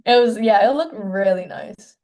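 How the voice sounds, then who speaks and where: Soft-spoken young woman from China. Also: mid-range voice. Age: 20s. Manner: soft-spoken, hesitant, many pauses and restarts. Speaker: filmmaker guest. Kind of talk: casual interview.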